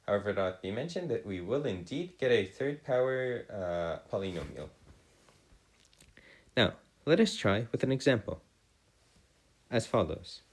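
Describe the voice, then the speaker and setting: A man tutoring a math and physics lesson in formal, old-fashioned wording, often halting and correcting himself. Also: fairly low pitch, expressive.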